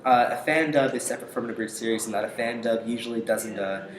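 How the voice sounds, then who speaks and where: A young man giving casual interview answers in a hesitant, halting way, pausing often. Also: medium pitch. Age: young adult. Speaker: interview guest, aspiring voice actor. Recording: echoey room with faint noise.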